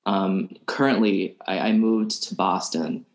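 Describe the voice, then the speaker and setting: Young dude from alabama, soft-spoken, kinda hesitant, lowish voice, echoey room. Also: male voice, fairly even intonation, unhurried. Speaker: guest musician. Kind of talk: casual interview chat. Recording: roomy echo.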